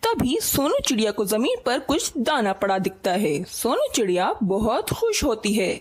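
A woman's voice: fairly high pitch, very animated, moderate pace, breathy edge. A woman narrating a scripted children's story as its storyteller.

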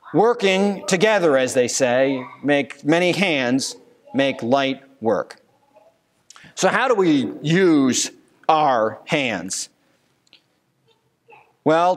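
A man in his thirties, a pastor preaching a sermon at a church pulpit, earnest and given to rhetorical questions. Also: fairly high pitch. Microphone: pulpit microphone.

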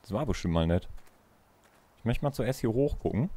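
A man's voice: fairly low pitch, lively intonation, moderate pace, mellow. A man giving casual let's play commentary, musing aloud in a playful way. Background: game music.

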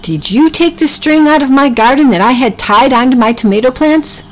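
Bossy female critter for a children's story woman performing: high, exasperated, emphatic scolding. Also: lively intonation, moderate pace, soft background music, low-quality recording. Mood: indignant scolding.

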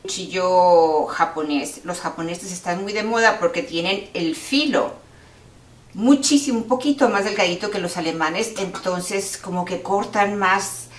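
A middle-aged woman giving casual how-to tips in a home kitchen, chatty and lively as she demonstrates with her hands. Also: lowish voice, a little slow. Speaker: cooking teacher giving tips. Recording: roomy, a bit far from the mic, faint hiss.

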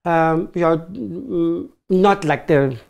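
A man's voice: on the high side, slow pace, creaky. A middle-aged man from Germany, with a German accent, speaking earnest, broken English as a hairstylist guest in a small studio. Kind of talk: casual chat. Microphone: standing, off the table mic.

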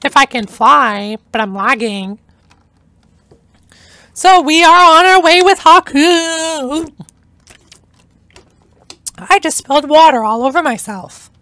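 Bright, high-voiced young woman gaming. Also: animated, takes it slowly, pauses often, dry recording. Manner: chatty, enthusiastic, rambling. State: hoarse. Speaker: gameplay narrator. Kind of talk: casual let's-play commentary.

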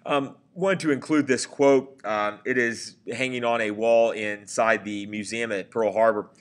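A man's voice: medium pitch, fairly even intonation, measured pace. A man giving a recorded history lecture over slides, talking conversationally with frequent fillers and self-corrections. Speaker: teacher.

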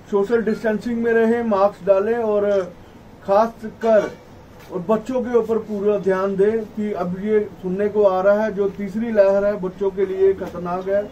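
A middle-aged man gives a formal, halting press conference statement as a federation spokesman, his voice slightly muffled by a mask. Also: high voice, warm tone. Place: small indoor office at a press conference. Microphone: cluster of table microphones, camera at some distance. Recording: distant mic, noisy room ambience.

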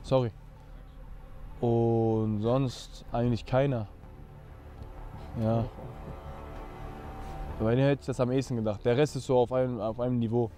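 A man's voice: medium pitch, little pitch movement, a little slow, long pauses, warm tone, faint music behind. A man on a football show panel, judging players outdoors in a plain-spoken, laid-back way. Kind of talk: casual opinion chat.

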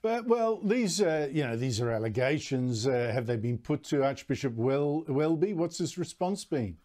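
An older man hosting a TV news show, introducing a story and questioning a guest in a wry, measured anchor manner from a studio. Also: medium pitch, expressive, a little mellow. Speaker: TV news host.

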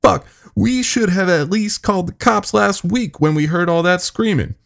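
A man's voice: high voice, moderate pace, gravelly, a bit bright, voice pushed up. A man voicing an angry, defiant male plant worker in a horror story, speaking in a confrontational way. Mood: indignant. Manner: confrontational, sweary.

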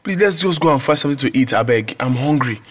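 A man playing an aggrieved, awkward young Nigerian student in an audio drama, halting and complaining. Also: medium pitch, expressive, lightly acted. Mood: bitter. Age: young adult.

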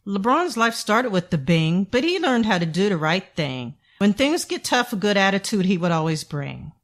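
A woman reading a children's storybook aloud in an expressive, deliberate way for young listeners. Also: medium pitch. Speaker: children's book narrator. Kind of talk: scripted read-aloud storybook narration.

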